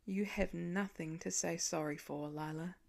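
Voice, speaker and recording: A woman voicing a warm, wry, reassuring father in a storybook reading, talking gently. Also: on the low side, fairly even intonation, measured pace, vocal fry, voice pushed down. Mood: tender.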